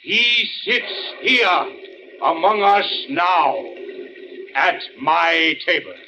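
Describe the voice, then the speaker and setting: Grandiose, half-mad old tragedian. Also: male voice, high voice, lively intonation, pushed and bright, exaggerated voice. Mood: menacing, accusing. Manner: declamatory, grandiose, dramatic pauses. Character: theatrical grieving old actor father, half-mad, accusing. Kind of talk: 1940s radio acting. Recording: muffled, noisy old radio recording.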